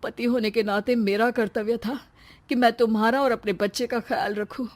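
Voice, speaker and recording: A woman's voice: on the high side, fairly even intonation, normal speed, natural voice. A woman voicing an anxious, whiny pregnant young husband in a comic story, complaining dramatically. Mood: apologetic, earnest. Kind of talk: acted dialogue in a Hindi comic story.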